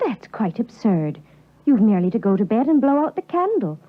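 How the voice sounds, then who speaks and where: A prim, kind-hearted young girl in an old radio play. Her voice is fairly high and very lively in pitch, with an earnest, reproving manner. The sound is a muffled vintage 1950s broadcast. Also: brisk. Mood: prim, scolding. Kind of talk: 1950s radio drama acting.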